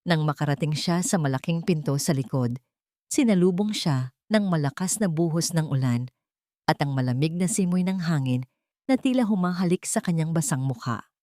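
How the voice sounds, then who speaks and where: A woman's voice: low voice, expressive, moderate pace, dark tone, no echo, clean studio sound. A woman reading a scripted romance drama story as its narrator, in a dramatic storytelling style.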